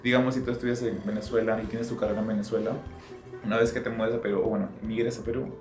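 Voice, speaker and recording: A young man speaks in a low register, leading a student discussion and rephrasing himself as he thinks. His voice rings in an echoey room with music playing behind him. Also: little pitch movement, quick pace. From Peru. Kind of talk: group discussion.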